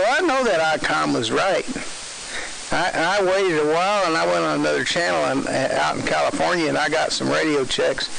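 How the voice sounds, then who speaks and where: A man's voice: a little high, vocal fry, a bit bright, low-quality recording. A man in his sixties with a Southern US accent, chatting and telling stories in a folksy, opinionated way over CB radio. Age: 60s. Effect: CB radio transmission. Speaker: amateur CB radio operator. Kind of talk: casual radio chat, storytelling. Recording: hissy CB radio with light static.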